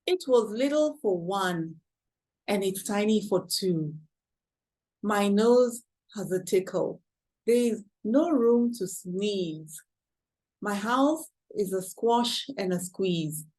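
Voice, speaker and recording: A woman's voice: medium pitch, lively intonation, takes it slowly, darkish tone, rough recording. A woman with a Kenyan accent voicing a fretful little old woman who wails and pleads about her cramped house in a storybook. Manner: wailing, exclaiming and pleading.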